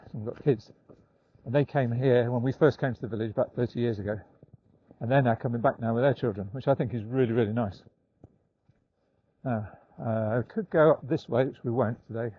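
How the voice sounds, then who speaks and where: An older man chatting casually and unhurriedly, with halting pauses, as he records a walking vlog outdoors. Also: mid-range voice, breathy edge, no room sound, lo-fi sound. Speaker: vlogger. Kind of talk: casual rambling vlog talk while walking. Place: outdoors, walking in snowy woods.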